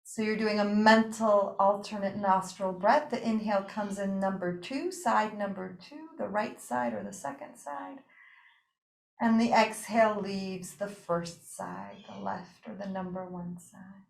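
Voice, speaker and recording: A woman teaching breathing and yoga nidra, guiding a relaxation practice with gentle, invitational phrasing and pauses. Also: medium pitch, creaky. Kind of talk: guided meditation and relaxation instruction. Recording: roomy, echoing room sound.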